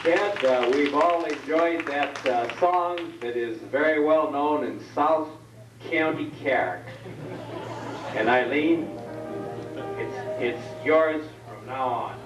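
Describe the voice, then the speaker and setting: A man acting as emcee at a family reunion, halting and full of fillers. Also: fairly high pitch, music in the background, a crowd in the background. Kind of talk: hesitant announcements. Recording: echoey hall, mic far off.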